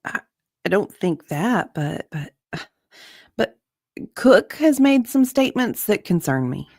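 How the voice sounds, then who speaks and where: A woman's voice: mid-range voice, sing-song, slow pace, darkish tone, dry recording, studio quality. A woman, chatting casually and reading aloud as co-host of an online show. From Southern United States. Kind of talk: casual chat with read-aloud passages.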